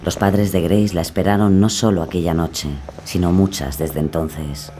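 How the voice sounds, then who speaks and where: A man delivering scripted true-crime narration in a solemn, measured manner. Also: deep voice, steady tone, mellow, soft background music.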